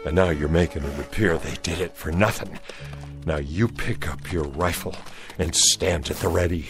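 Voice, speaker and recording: Deep-voiced, stern Southern cavalry soldier. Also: male voice, normal speed, creaky, over music. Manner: folksy, firm, commanding. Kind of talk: radio drama acting.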